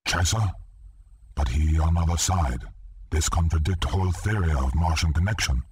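An actor playing a skeptical foreign schemer who talks in broken grammar in a video game. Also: male voice, deep voice, normal speed, darkish tone, a little hiss or hum, close to an everyday voice. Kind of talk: dramatic video game voice acting.

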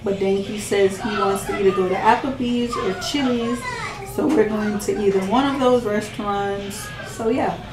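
A woman in her thirties with a faint Jamaican accent, talking casually to her camera in a slow, relaxed, chatty way. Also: mid-range voice, roomy sound, noisy background. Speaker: vlogger. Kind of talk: casual vlog chat. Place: living room indoors, then a parked car.

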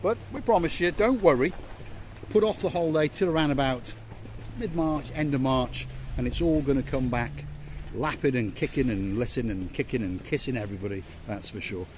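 A man's voice: medium pitch, brisk, darkish tone, slight background noise. A man in his fifties presenting a walking travel vlog to camera, enthusiastic and chatty, filmed on a phone at arm's length outdoors at a seaside harbour. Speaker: vlogger. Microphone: phone held at arm's length.

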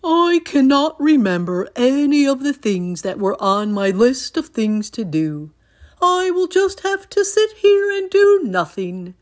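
A woman's voice: high-pitched, sing-song, measured pace, voice pushed up. A woman voicing a fretful, literal-minded male toad who is easily upset, in a children's storybook read-aloud. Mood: glum.

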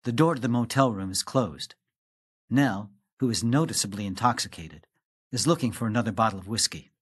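A man reading the stage directions of a play in measured, scripted narration. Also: on the low side, husky.

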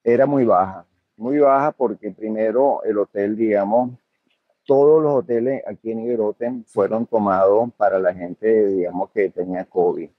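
A man's voice: on the low side, moderate pace, no echo, narrow, tinny audio. An older man, a hotel owner answering interview questions in a hesitant way, full of fillers and restarts.

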